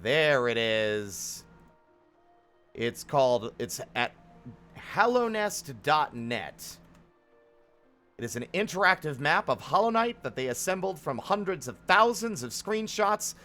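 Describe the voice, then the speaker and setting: A man's voice: on the high side, big pitch swings, takes it slowly, sharp tone, faint music behind. A man giving casual live commentary as a game streamer, rambling and thinking aloud as he goes.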